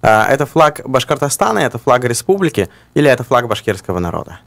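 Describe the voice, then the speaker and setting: A man co-hosting a radio talk show, speaking in a measured, deliberating way and pausing to find his words. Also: mid-range voice, very animated, gravelly, fairly bright tone, no echo. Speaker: radio co-host. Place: radio studio. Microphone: studio microphone.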